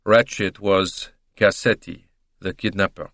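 A man's voice: fairly low pitch, moderate pace, fairly bright tone, no room sound, close to an everyday voice. A man plays a courteous detective in a storybook mystery. He is composed and probing, with formal, dry questions in a very dry-sounding recording.

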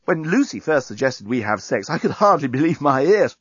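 A man's voice: mid-range voice, big pitch swings, a little fast, husky, dry recording, lo-fi sound. A man voicing an eager, shamelessly lusty alien journalist in a comic science fiction audiobook, blurting and exclaiming. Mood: gleeful, eager.

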